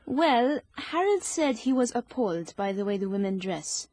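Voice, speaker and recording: A woman's voice: fairly high pitch, big pitch swings, moderate pace, darkish tone, no echo. A woman playing a prim, caring young British army nurse in a period radio drama. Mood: chatty, prim.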